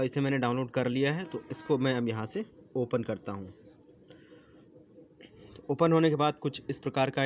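A man talks viewers through things like a tech channel host. His voice sits at an ordinary middle pitch and his delivery is chatty and instructional. The homemade recording carries clear noise. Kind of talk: presenter-style app tutorial to viewers. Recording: clearly noisy amateur recording.

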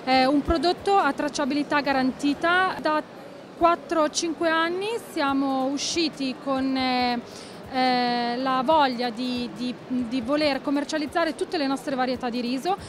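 A woman in her thirties speaks at a trade fair stand, halting often and restarting her sentences. Her voice is high, and clear crowd noise from a busy indoor hall carries behind her. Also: fairly even intonation. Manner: hesitant, many fillers and restarts. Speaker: rice company owner. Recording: noisy fair hall background.

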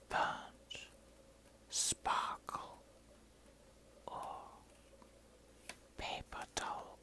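Whispering woman, very slow ASMR delivery. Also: sharp tone. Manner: slow, halting reading aloud in short phrases. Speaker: ASMR video creator. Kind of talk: whispered ASMR show and tell. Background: crumpling, crinkling paper.

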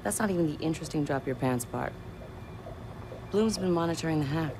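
A businesslike female hacker in a video game. Her low voice is matter-of-fact with a slightly irreverent edge. Clear ambient noise sits behind her. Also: lively intonation, brisk.

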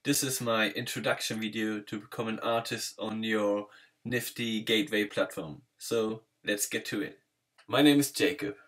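German guy in his 30s, lowish voice, reading his intro to the webcam in a small bedroom, friendly and earnest. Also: moderate pace. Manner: friendly, earnest, steady read-out delivery. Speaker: artist introducing himself. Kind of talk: scripted self-introduction to camera.

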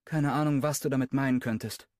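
A man reading an audiobook and voicing a fiery, sharp-tongued young woman in a raised, high register. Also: fairly even intonation, brisk, no echo, studio quality, natural voice. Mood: questioning.

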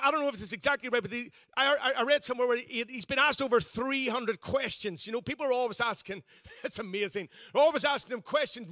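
A man's voice: very high-pitched, bright, forceful tone, no room sound, studio quality. A man, a pastor giving a conversational, self-deprecating sermon with frequent restarts. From Northern Ireland.

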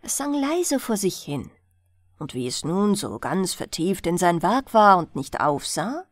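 A woman narrating a bedtime fairy tale in an expressive storytelling style, as an audiobook reader. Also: on the low side, unhurried, dry recording, studio quality.

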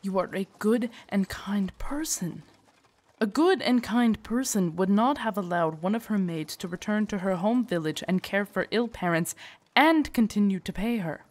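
A woman's voice: fairly low pitch, normal speed, darkish tone. A woman playing a devoted, earnest female knight in an audio drama, speaking in a formal, courtly way that turns hesitant and shy. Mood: earnest, reassuring. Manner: formal, courtly, hesitant and stammering when flustered. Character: devoted female knight, tender, earnest, shyly lovestruck royal guard. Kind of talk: roleplay audio drama, intimate scripted monologue.

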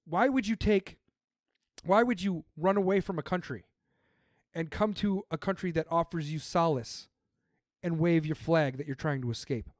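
Man, podcaster, emphatic, swears a lot, fairly high voice, dry sound. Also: normal speed, no room sound. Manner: emphatic, profane, ranting. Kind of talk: casual political chat.

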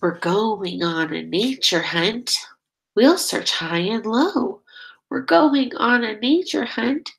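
Middle-aged woman, children's read-aloud teacher; highish, creaky voice, expressive delivery that invites kids to answer. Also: poor audio. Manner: expressive, drawn-out key words, prompting children with questions. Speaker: teacher reading a picture book aloud.

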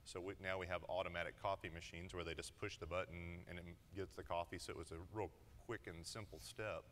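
A man's voice: low-pitched, steady tone, fairly quick, a bit bright, in a large hall, slight background noise. A man in his thirties speaking as a conference panelist, conversational and plain-spoken, on a lapel mic. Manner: conversational, explains in plain terms. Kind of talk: panel discussion. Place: large conference hall stage.

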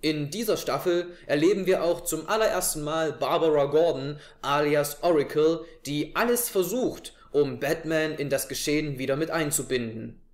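Man in his twenties, slightly high voice, show host, presenting to camera, roomy echo. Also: moderate pace, few pauses. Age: 20s. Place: small living room, indoors on a couch. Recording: echoey room.

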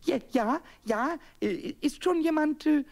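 A tiny mischievous kobold, with a very high, shrill voice that leaps all over. He stammers and exclaims like an excitable child in a children's radio play. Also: a little slow, creaky, heavily acted. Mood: nervous, eager. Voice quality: squeaky, shrill.

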